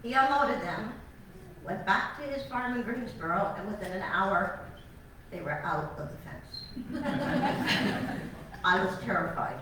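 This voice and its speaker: An older woman tells her story to a meeting crowd in a chatty, heartfelt, self-deprecating way. She is far from the mic in a very echoey hall. Room noise comes through clearly behind her. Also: mid-range voice, expressive, unhurried, long pauses, a bit bright. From born in Alabama, raised on Long Island. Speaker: cattle farmer, retired teacher. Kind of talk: personal storytelling to an audience.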